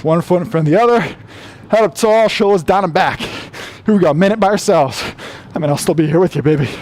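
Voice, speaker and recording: Hoarse, high-voiced man coaching mid-run. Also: a little fast, a little mellow. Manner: encouraging, talks while running on a treadmill. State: losing his voice. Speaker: running coach. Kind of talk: follow-along workout coaching.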